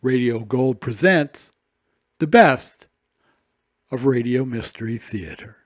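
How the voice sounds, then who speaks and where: Male announcer for a scripted program intro, middle pitch, dry studio-style sound. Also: slow, dry recording.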